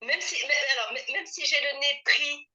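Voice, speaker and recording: Une femme enrhumée, le nez pris, qui parle de façon bavarde et répète les phrases d'une séance de tapotement en appel vidéo. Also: female voice, high voice, moderate pace, sharp tone, lo-fi sound. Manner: chatty, repeats herself, laughs often. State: congested, with a head cold. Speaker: participant in a group EFT session. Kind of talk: casual chat and repeating EFT phrases. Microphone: video call.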